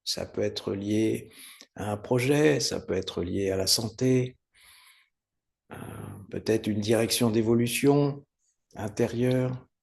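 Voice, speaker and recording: Slow-speaking man guiding meditation, creaky voice. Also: lowish voice, darkish tone. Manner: unhurried, soothing step-by-step guidance with pauses. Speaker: yoga nidra meditation teacher. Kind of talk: guided meditation instruction.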